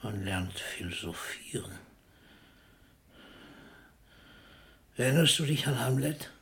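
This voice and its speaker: A man's voice: medium pitch, expressive, normal speed, vocal fry, fairly bright tone. An older actor in a German radio play, playing an ailing, philosophical old poet with a wry, fatherly manner. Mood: wry, musing. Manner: philosophical, wryly theatrical, with whispered asides.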